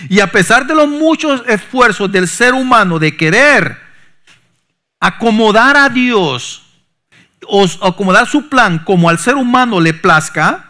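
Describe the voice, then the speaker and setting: A middle-aged pastor, preaching a sermon that swings from forceful emphasis to soft, low asides. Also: male voice, animated, a little slow. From Honduras. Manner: preaching with sudden soft drops and rising emphasis.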